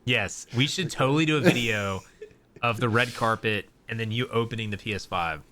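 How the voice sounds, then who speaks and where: Bright-voiced young podcast host. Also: male voice, medium pitch, moderate pace. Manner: excitable, rambling with restarts. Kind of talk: casual chat.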